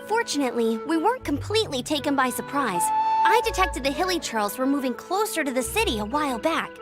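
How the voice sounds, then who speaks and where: A woman's voice: high voice, brisk, over loud music. A woman playing a spirited, earnest young scout in an anime-style fantasy video game. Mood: earnest, serious. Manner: perky, earnest, expressive.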